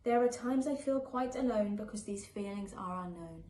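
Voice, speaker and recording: A woman's voice: mid-range voice, moderate pace, mellow, close to an everyday voice. A woman voicing a sad young boy in a children's storybook, earnestly and hesitantly opening up in rhyme. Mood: sad, confiding. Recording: echoey room with faint background noise.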